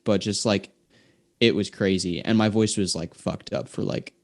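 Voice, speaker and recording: A young man with a fairly low voice tells a long story in a podcast studio. He rambles, stacking filler words between loose, casual phrases. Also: moderate pace, creaky, a little mellow. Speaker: guest singer-songwriter. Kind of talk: casual chat.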